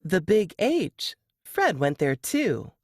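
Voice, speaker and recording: A woman's voice: on the low side, very animated, takes it slowly, no echo, studio quality, exaggerated voice. A woman voicing a friendly, easygoing female café worker in an audiobook story, casual and helpful. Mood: impressed. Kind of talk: audiobook character dialogue.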